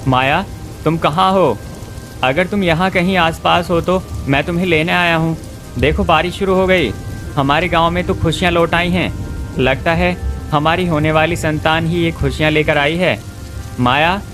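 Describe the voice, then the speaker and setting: A man's voice: a little high, fairly even intonation, moderate pace, fairly bright tone, soft background music, close to an everyday voice. A man voicing an earnest, emotional young village husband in a cartoon story. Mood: searching, hopeful. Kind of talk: Hindi cartoon story voice acting.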